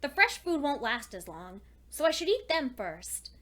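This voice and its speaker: Casting: woman to play lonely bookish female pony for dark fan fiction audio, high voice, earnest and anxious, deliberate dramatic pauses. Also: very animated. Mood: businesslike, resolute. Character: lonely studious female pony, anxious diarist clinging to hope. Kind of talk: dramatic fan fiction reading, diary entries.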